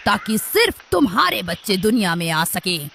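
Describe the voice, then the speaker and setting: Woman voicing a creaky, dramatic cartoon parrot. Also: mid-range voice, big pitch swings, moderate pace, bright, forceful tone, slight background noise, full character voice. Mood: angry accusation. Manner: emotional, dramatic. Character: hot-headed accusing parrot in a forest.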